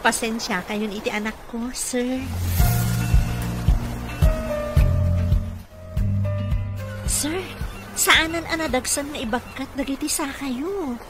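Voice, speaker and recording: A woman's voice: high voice, moderate pace, over loud music, natural voice. A woman playing a kindly, dutiful mother and caregiver in an Ilocano radio comedy-drama, speaking in a respectful, motherly way. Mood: apologetic, respectful.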